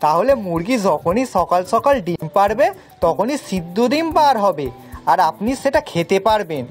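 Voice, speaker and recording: A woman's voice: medium pitch, animated, moderate pace, faint music behind, natural voice. A woman playing a naive, eager young male village servant in a cartoon, with dialect and a deferential manner. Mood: earnest explaining. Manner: deferential, rustic dialect turns of phrase. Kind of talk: cartoon voice acting.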